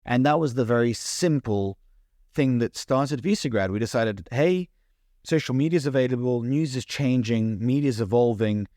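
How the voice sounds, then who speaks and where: A man's voice: mid-range voice, darkish tone, no echo, studio quality. A young man who grew up in the United Kingdom, talking earnestly in an interview and often restarting his sentences. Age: young adult. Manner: earnest, fast, stumbles and restarts. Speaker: podcast guest, social media founder. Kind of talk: interview conversation.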